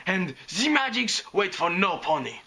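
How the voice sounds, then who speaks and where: A man's voice: sits high, big pitch swings, unhurried, vocal fry, sharp tone, breathy edge, voice pushed up, exaggerated voice. A man voicing an imperious, flamboyant female pony photographer with a German accent, barking dramatic commands in broken English for a story. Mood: haughty.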